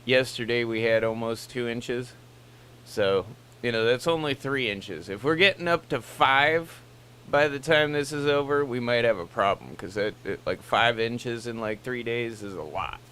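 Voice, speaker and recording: A man's voice: medium pitch, normal speed, a bit bright. An American man chatting casually as a CB radio operator. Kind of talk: casual two-way radio chat. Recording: CB radio with background hum and noise.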